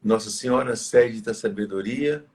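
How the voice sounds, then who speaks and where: A tired, slightly hoarse male priest, leading prayers and preaching in a reverent, measured way. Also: on the low side. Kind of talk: live religious talk and prayer.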